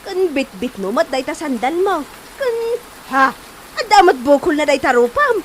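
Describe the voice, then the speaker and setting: A woman's voice: high voice, sing-song, normal speed, a little hiss or hum. A woman acting an exasperated, chiding woman of the household in an Ilocano comedy drama, nagging with an Ilocano accent.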